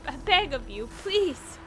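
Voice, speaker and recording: A woman with a high voice reacts live as she streams a game. Her talk is casual and exclamatory, with playful pleading, and game music plays clearly behind her. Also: very animated, takes it slowly.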